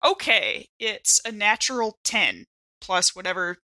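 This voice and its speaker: Bright-voiced woman playing a tabletop game. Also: mid-range voice, very animated, unhurried, a little hiss or hum. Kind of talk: casual game table chat, thinking aloud.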